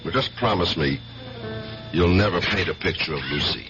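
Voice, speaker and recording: Low-voiced uneasy detective. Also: male voice. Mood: uneasy, reluctant. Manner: hesitant, halting. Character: gruff, uneasy police detective, bride's brother. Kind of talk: 1970s radio drama acting. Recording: dull 1970s radio recording with music.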